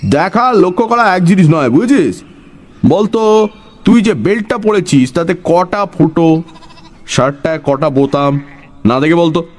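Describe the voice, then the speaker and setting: A man's voice: fairly high pitch, expressive, a little slow, voice pushed up. A man voicing an eccentric, half-crazy village man who quizzes his listener in an emphatic, knowing, teasing way in a dramatised story. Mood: probing, impatient.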